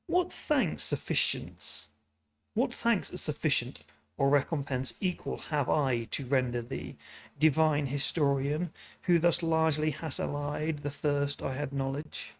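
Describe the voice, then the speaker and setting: Adam the wondering first man, read by a sniffly British man, hoarse, gravelly, slow and solemn. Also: on the high side. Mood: earnest wonder. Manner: solemn, questioning verse.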